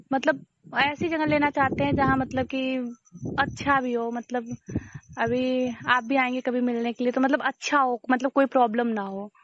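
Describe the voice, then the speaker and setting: A woman chatting casually and privately on a recorded phone call, coy and hesitant. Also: high voice.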